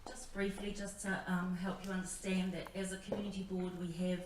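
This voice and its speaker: Lady board member talking at a meeting, kinda halting, echoey room, faint noise behind her. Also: mid-range voice, flat delivery. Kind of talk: public meeting remarks. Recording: echoey hall, distant mic, faint noise.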